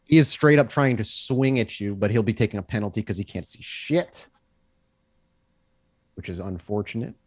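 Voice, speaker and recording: A man's voice: lowish voice, expressive, moderate pace, pauses often. Man narrating as a tabletop game master. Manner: descriptive, conversational, checks in with players. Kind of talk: improvised narration of a tabletop role-playing game.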